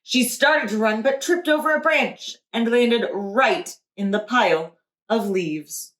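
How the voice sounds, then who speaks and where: Lady teacher reading a kids book, kinda high voice, takes it slow, expressive. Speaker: preschool teacher. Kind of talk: children's picture book read-aloud. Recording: roomy echo.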